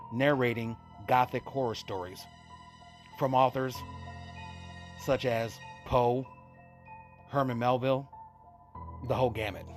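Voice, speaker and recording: A man with a mid-pitched voice introduces vintage horror radio as its host. He takes his time through the scripted narration, with music playing underneath.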